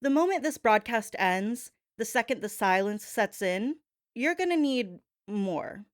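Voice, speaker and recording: A woman's voice: mid-range voice, no echo, clean studio sound. A woman hosting a gossip show in a sassy, dramatic, emphatic and slangy monologue style. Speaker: host of a gossip radio show. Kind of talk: gossip talk show monologue in homage to daytime TV hosts.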